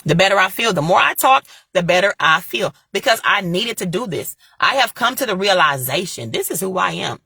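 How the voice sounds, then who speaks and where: Husky, animated woman preaching to viewers. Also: high voice, no echo, studio quality. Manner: preachy, repeats phrases, talks straight to viewers. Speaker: motivational vlogger. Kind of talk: impassioned motivational monologue.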